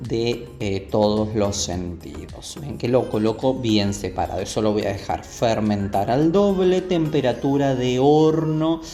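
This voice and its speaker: A man's voice: medium pitch, unhurried, few pauses, dark tone. A man with a Rioplatense Spanish accent giving a step-by-step cooking demonstration, instructive and often checking in with short tag questions. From Argentina. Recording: roomy echo, music behind. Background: music.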